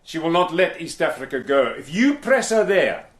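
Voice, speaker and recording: A cool, persuasive British agent narrates and argues in a calm, measured way. His voice sits slightly high, steady and controlled, like the lead of a radio drama.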